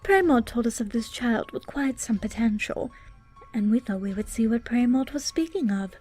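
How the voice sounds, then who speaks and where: A woman's voice: on the high side, lively intonation, normal speed, darkish tone, husky. A woman playing a composed, frank goddess in a fantasy audio drama, with a poised and direct delivery. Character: composed, frank goddess among squabbling deities. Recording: music under the voice.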